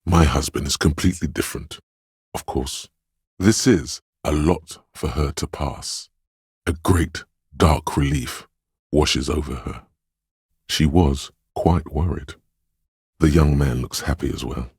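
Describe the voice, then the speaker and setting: A man voicing a game's inner voice of empathy, a gentle, perceptive, sorrowful narrator who speaks in a measured, solemn, intimate way. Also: very deep voice, husky, dry recording, clean studio sound, heavily acted. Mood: gentle, observant. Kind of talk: scripted second-person game narration.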